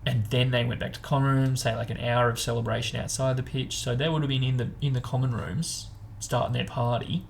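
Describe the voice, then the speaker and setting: A man with a mid-range, noticeably creaky voice hosts a relaxed book discussion. He explains things informally and wanders into speculation as he goes. There is faint noise in the background. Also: mid-range voice, fairly quick. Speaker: host of a book discussion show. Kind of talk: casual chat, book discussion.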